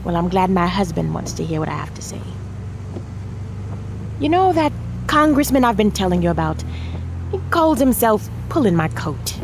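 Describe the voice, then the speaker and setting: A woman's voice: medium pitch, very animated, moderate pace, gravelly, pauses often, noisy background. An actress playing a determined, frustrated American congresswoman in an audio drama, emphatic and plain-spoken, with an American accent. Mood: affectionate, wry. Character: determined, frustrated congresswoman and wife with presidential ambition.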